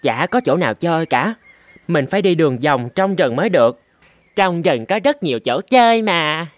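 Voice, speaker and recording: An actor playing a playful, mischievous little boy elephant in a children's cartoon, with a childlike, expressive delivery. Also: mid-range voice, moderate pace, slight background noise, natural voice. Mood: gleeful. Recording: music bed behind the voice.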